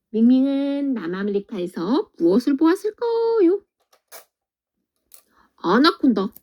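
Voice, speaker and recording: A woman teacher reading a children's picture book aloud in a gentle, sing-song way, often asking the listener questions. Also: a little high.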